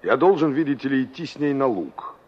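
An actor playing an impatient, hot-headed young carpenter, brusque and defensive, in a Soviet radio drama. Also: male voice, mid-range voice, lively intonation, fairly quick, lightly acted. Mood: exasperated. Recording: muffled 1960s radio play recording.

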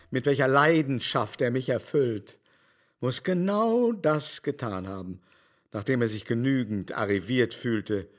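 An actor playing an aging aristocratic baron in a radio drama, proud, indignant and theatrical. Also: male voice, medium pitch, close to an everyday voice. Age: older.

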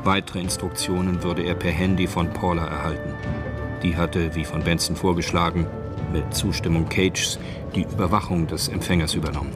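A man narrating a radio drama in a scripted, literary storytelling style. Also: low-pitched. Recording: music behind the voice. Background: music.

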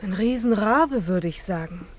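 An actress playing an uneasy, superstitious wife in a German radio play, speaking with apprehensive wonder. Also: female voice, mid-range voice, animated, moderate pace, darkish tone, lightly acted.

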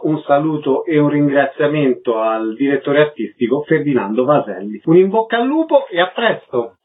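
A man hosting a radio interview programme, presenting guests and putting questions to them. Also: a little high. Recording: narrow phone-like radio sound.

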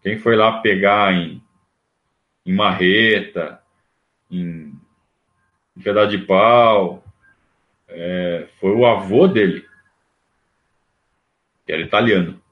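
A man's voice: deep voice, slow pace, long pauses, highs cut off. A man, talking casually and at length in a rambling livestream monologue, full of restarts and fillers. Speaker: livestream host. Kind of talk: casual monologue on club history.

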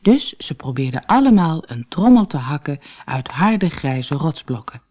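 A storyteller reading a children's fairy tale from a script in an expressive, deliberate way, as for an audiobook. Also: medium pitch, slightly rough. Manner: expressive, deliberate read-aloud delivery.

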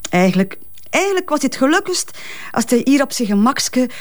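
A woman's voice: high-pitched, sing-song, moderate pace. An actress playing a grieving, protective mother in a Flemish crime drama, speaking hesitant, colloquial West Flemish with repeated words. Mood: chatty.

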